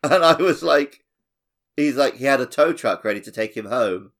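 A man's voice: mid-range voice, animated, moderate pace, fairly bright tone. A man co-hosting a podcast in casual chat, telling anecdotes in a jokey, self-deprecating way. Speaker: podcast co-host.

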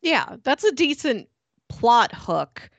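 A woman's voice: fairly high pitch, big pitch swings, takes it slowly, gravelly, dry recording. A woman co-hosting a casual book-club podcast, chatty and laughing between remarks. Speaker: podcast co-host.

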